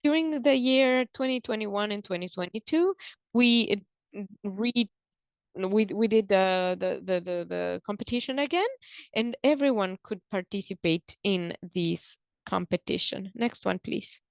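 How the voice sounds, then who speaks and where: Slow-paced woman giving a presentation. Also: mid-range voice, creaky, no room sound, clean studio sound. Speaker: presenter on a conference call. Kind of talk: explanatory slide presentation, halting delivery.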